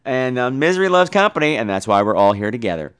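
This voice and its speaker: A man's voice: medium pitch, big pitch swings, quick pace, fairly bright tone, clear, resonant tone. A man hosting a podcast with informal talk, campy, sassy and foul-mouthed in manner. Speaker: podcast host.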